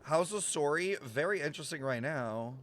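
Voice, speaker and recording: Higher-pitched young man reacting on stream. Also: animated, moderate pace, fairly bright tone, a little hiss or hum. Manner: sweary, incredulous, musing aloud. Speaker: streamer reacting to a game story. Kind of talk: casual live reaction chat. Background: game music.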